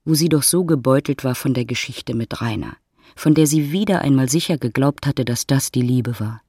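A man narrating a literary audiobook in a measured, dramatic storytelling manner. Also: medium pitch, a little mellow, husky, no room sound, studio quality.

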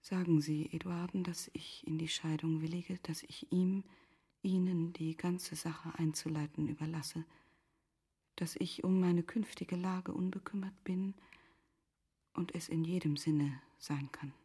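Radio drama noblewoman: hushed, very breathy, lowish, formal, resigned, restrained. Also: fairly even intonation, unhurried, heavily acted. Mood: anguished self-reproach. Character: Charlotte, grave self-reproaching noblewoman, resigned and composed. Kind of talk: literary radio drama acting.

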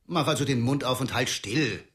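An actor playing a brisk, cold male dentist who gives curt commands, in a radio drama. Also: mid-range voice, a bit bright, natural voice.

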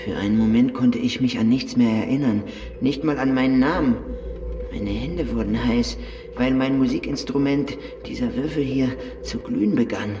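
A man's voice: mid-range voice, normal speed, warm tone, soft background music, close to an everyday voice. A man playing a shaken, hesitant visitor to the underworld, heard as an echoing recording in a German radio play. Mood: shaken, recalling. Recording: echoey hall, played-back recording.

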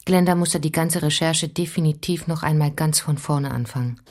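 A woman's voice: low-pitched, moderate pace, darkish tone. A woman playing a determined researcher in a radio drama, composed and inquisitive. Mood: sober narrating. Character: determined female researcher narrating and interviewing witnesses. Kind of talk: radio drama, narration and dialogue.

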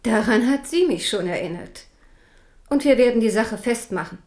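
A woman's voice: a little high, animated, moderate pace, darkish tone, natural voice. An actress in a German radio play playing a proud, devout Protestant queen and protective mother, fervent and stern. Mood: grimly determined.

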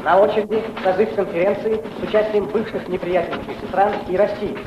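A man's voice: sits high, fairly even intonation, brisk. A man announcing in a radio play, reading scripted news items in a formal, declamatory style. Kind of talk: dramatic newsreel-like scripted announcing. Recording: echoey, noisy, dull old radio recording.

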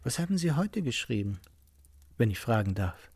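A man's voice: medium pitch, sing-song, moderate pace, darkish tone, breathy edge, dry recording. An actor playing an affable, middle-aged male academic in a German radio play, effusive and over-polite as he talks. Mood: eager storytelling.

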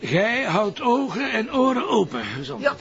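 A man with a Flemish accent playing a brisk, wry police inspector in naturalistic TV drama dialogue, protective of colleagues. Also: extremely high pitch, very animated, fairly bright tone, soft background music, exaggerated voice. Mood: instructing. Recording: dull lo-fi TV soundtrack.

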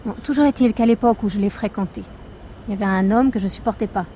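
Haughty, fussy female witness, played by an actress: condescending, prim, chatty, dark mellow timbre, outdoor traffic ambience behind. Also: medium pitch, fast talker, close to an everyday voice. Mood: complaining, indignant. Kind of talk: naturalistic French fiction podcast acting.

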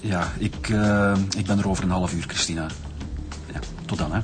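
A man's voice: deep voice, fairly even intonation, moderate pace, over music, lightly acted. A man with a Flemish accent playing a formal, reluctant senior police officer who talks hesitantly in a radio crime drama. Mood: subdued, hesitant.

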